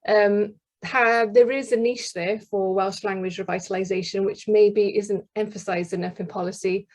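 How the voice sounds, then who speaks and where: A woman's voice: medium pitch, fairly even intonation, normal speed, darkish tone. A woman giving a webinar presentation on a video call, talking hurriedly with fillers as her time runs out. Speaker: researcher presenter.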